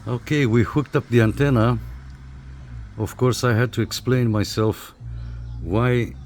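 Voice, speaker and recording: A man giving a casual hands-on equipment review in a halting, informal, explanatory way. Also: medium pitch, steady tone, a little mellow, faint music behind. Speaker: radio hobbyist reviewer. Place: small apartment room.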